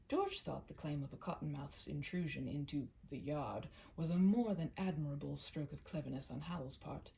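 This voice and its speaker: A woman's voice: fairly low pitch, creaky, warm tone, a little hiss or hum, rough recording. A woman narrating a humorous short story as a scripted read-aloud, in a theatrical, mock-grandiose manner.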